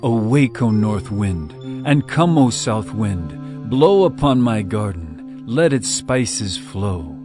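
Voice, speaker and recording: An actor playing an adoring royal bridegroom in a dramatized scripture reading, with a measured, tender and lyrical delivery. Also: male voice, on the low side, big pitch swings, over music. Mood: summoning.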